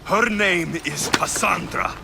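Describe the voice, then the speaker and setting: An actor playing a vengeful, grieving young Greek mercenary warrior in a dramatic video game cutscene. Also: male voice, high-pitched, takes it slowly, creaky, heavily acted. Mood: bitter anger. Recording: loud music behind the voice. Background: loud music.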